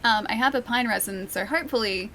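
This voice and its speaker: A woman's voice: a little high, fast talker, sharp tone, a little hiss or hum. A young woman from Australia streaming a video game, chatting casually and giggling as she talks to her viewers. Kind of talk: casual live-stream commentary.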